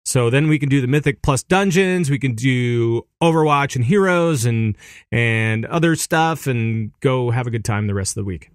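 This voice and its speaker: A man rambles through casual podcast chat, often restarting his sentences and laughing easily. His voice sits in a middle pitch. The sound is dry and close to the mic. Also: lively intonation, moderate pace, dry recording. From Minneapolis area, USA. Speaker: podcast co-host.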